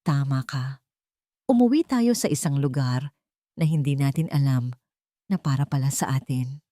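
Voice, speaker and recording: A woman storyteller voicing a tender, loving mother at peace, speaking gently in a story. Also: rumbling low, moderate pace, dry recording, studio quality, pitched below the performer's own voice. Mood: tender, reflective. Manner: tender, hushed.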